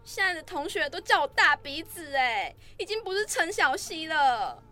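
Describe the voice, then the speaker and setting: A petulant, self-conscious schoolgirl played by a woman in an audio drama. Her voice is high and bright, swooping with whiny pleading. Faint music sits behind her. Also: sing-song, normal speed, exaggerated voice. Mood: whining, pleading.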